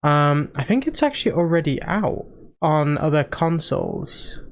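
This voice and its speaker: A man's voice: on the high side, sing-song, measured pace, clean tone. A man hosting a casual game-trailer reaction stream, presenting with lots of ums and restarts. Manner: hesitant, filler-heavy presenting. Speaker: stream host.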